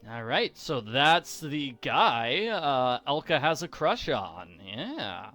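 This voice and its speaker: A man's voice: medium pitch, sing-song, slow, bright, forceful tone. A man giving a casual, playful commentary as he walks through a video game. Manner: playful, jokey. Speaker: video game walkthrough host. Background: faint game music.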